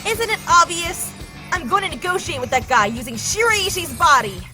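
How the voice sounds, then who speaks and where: A woman's voice: extremely high pitch, lively intonation, normal speed, music in the background. A woman playing a brash, irritable teenage schoolboy stuck in a girl's body in an anime dub, blunt and cocky.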